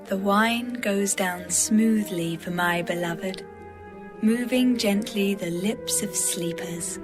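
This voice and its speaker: A woman reading as a devoted, loving young bride in a measured, poetic dramatized audio Bible style. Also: medium pitch, music in the background, close to an everyday voice. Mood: loving, inviting.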